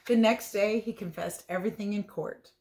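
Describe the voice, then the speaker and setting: A woman reading a picture book aloud as a teacher would, expressive and playful, with chatty asides about the pictures. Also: mid-range voice, normal speed, a little hiss or hum. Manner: playful, chatty, commenting on the pictures as she goes. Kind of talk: storybook read-aloud with casual asides.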